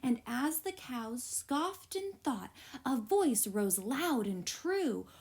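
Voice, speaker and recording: A woman reading a children's picture book aloud as a teacher would, in an expressive, deliberate storytelling style. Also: high voice, slightly rough.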